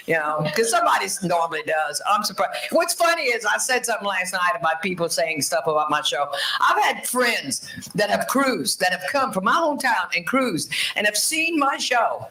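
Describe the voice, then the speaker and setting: A woman in her sixties doing profane stand-up comedy, brash and loud. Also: medium pitch, animated, moderate pace. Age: 60s. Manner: brash, foul-mouthed, loud punchlines. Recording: echoey distant clip with loud noise.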